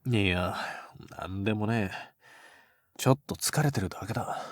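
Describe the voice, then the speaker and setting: A man playing a cool, curt high school boy with rough, blunt speech in an anime-style voice drama. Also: moderate pace, no room sound, studio quality, close to an everyday voice. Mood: aloof, tired. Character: cool, gruff high school heartthrob boy, secretly flustered. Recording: music behind the voice. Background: background music.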